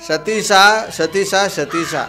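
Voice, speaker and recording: A man's voice: fairly high pitch, slow, a bit bright, soft background music. A man with a southern Karnataka accent hosting a radio prank call, bantering in an argumentative, persistent, aggrieved manner. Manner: argumentative, feigning grievance, persistent.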